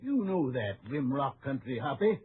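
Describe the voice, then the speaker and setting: A man's voice: medium pitch, sing-song, moderate pace, slightly rough. Genial older townsman, tinny radio. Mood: genial, earnest. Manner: genial, hesitant, folksy. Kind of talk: old-time radio western acting. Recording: noisy, tinny old radio recording.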